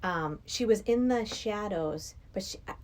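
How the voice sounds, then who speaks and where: A woman's voice: mid-range voice, lively intonation, a little slow, a little mellow. A middle-aged woman from Massachusetts, a podcast guest chatting casually and enthusiastically about movies. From Massachusetts, United States.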